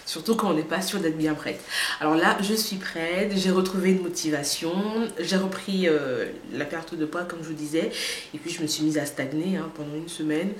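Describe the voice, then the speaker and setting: A woman with a slight cold gives a casual, chatty vlog update and talks straight to her viewers, with many hesitant pauses. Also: deep voice, reverberant, a little hiss or hum. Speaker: vlogger.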